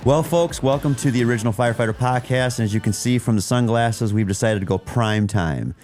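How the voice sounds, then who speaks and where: A man's voice: medium pitch, brisk, a little mellow, soft background music. A man hosting a casual podcast, talking in a rambling way with many fillers and restarts. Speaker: podcast host. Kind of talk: casual chat. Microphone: studio mic.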